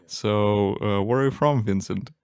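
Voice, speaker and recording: Low-voiced podcast host, dry sound. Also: male voice, unhurried, darkish tone, clean tone, no echo. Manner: hesitant questions with many fillers. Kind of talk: casual interview chat.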